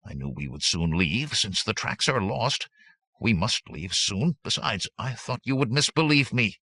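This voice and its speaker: A man's voice: fairly low pitch, very animated, unhurried, bright, forceful tone, husky, no echo, voice pushed up, full character voice. A man voicing a nervous, cowardly bandit tracker in an audiobook, protesting fearfully. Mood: defensive. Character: nervous, cowardly, pinch-faced male bandit tracker, defensive.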